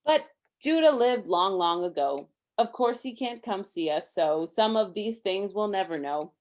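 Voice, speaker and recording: A woman's voice: mid-range voice, animated, unhurried, darkish tone. A woman reading a rhyming children's story aloud expressively, with simple, casual explanations for a young listener. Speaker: storybook reader.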